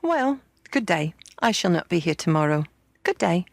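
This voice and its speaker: A woman voicing a cool, teasing village girl who speaks in a slow, superior drawl. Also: lowish voice, sing-song, vocal fry, dry recording. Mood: aloof.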